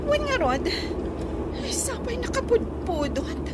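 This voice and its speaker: A woman's voice: very high-pitched, big pitch swings, a little slow, very noisy, heavily acted. A woman playing an imperious, demanding rich madam who bosses her driver about in an Ilocano radio comedy drama.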